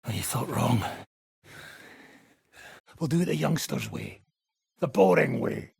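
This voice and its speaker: An actor playing a foul-mouthed, swaggering mercenary gang leader in a video game, brash and sardonic, with a raspy, gravelly voice. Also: male voice, mid-range voice, sing-song, slow, a little mellow, no room sound, full character voice. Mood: menacing, commanding. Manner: brash, sardonic, commanding, swearing freely. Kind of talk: game dialogue, coarse medieval banter and battle shouts.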